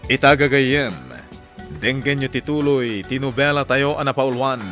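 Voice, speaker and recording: A man's voice: mid-range voice, level tone, moderate pace, a bit bright. A man with a Filipino accent giving a dramatic scripted recap as the narrator of a radio drama. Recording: music under the voice. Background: dramatic music.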